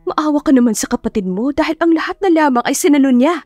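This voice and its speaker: A woman's voice: high voice, expressive, quick pace, darkish tone, dry recording, faint music behind, voice pushed up. A woman voicing a stern middle-aged mother in an audiobook drama, firm, reproachful and pressing as she persuades. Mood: guilt-tripping. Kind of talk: audiobook character acting.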